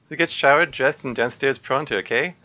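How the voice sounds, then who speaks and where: A man's voice: mid-range voice, brisk, pushed and bright, voice pushed up, natural voice. A man voicing a stern middle-aged uncle with a Yorkshire accent, nagging his nephew to get up. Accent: Yorkshire, as attempted. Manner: gruff, nagging, raised voice. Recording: dull lo-fi stream audio, faint music.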